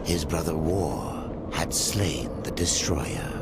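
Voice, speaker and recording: A man's voice: a bass voice, sing-song, slow, creaky, very noisy, exaggerated voice. A man playing a grave, solemn storyteller narrating an epic video game cutscene. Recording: loud music over the voice. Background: loud cinematic game music.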